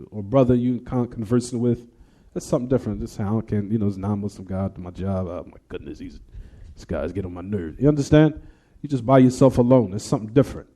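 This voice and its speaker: Very mellow, dark-voiced American male teacher. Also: medium pitch. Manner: emphatic, repeats and rephrases phrases. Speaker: religious teacher. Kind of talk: Islamic lesson, reading and explaining a text, then taking questions.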